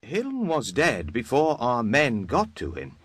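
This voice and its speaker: An actor playing a grim soldier giving a briefing in a fantasy game cutscene, with a husky voice. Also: male voice, mid-range voice, wildly swooping pitch, unhurried, dry recording, exaggerated voice. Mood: grave. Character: grim soldier reporting to his mercenary commander.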